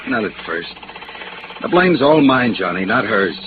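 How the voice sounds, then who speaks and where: An actor playing a bitter, lovestruck American embezzler on the run, defensive and resigned, in 1950s radio noir. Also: male voice, on the low side, big pitch swings, measured pace. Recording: noisy, narrow-band vintage radio recording.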